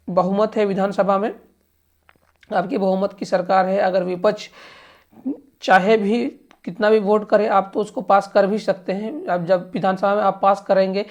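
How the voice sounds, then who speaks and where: A woman's voice: medium pitch, steady tone, normal speed, darkish tone. A woman giving informal political commentary, emphatic and often restating her points. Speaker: news commentator. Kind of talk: informal political commentary and explainer, addressing government directly.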